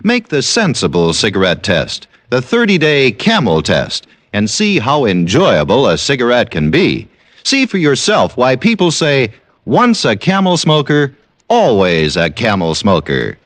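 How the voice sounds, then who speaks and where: A man's voice: wildly swooping pitch, a little slow, fairly bright tone, dry recording. Punchy 1950s commercial announcer man. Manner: persuasive, punchy pitch.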